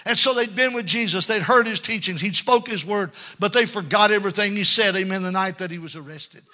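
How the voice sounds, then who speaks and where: Older guy preaching pentecostal style, high really piercing forceful voice, keeps saying amen and calling for a response. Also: fairly quick. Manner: exhorting, punctuated with frequent amens and calls for response. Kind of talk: fervent Pentecostal church sermon.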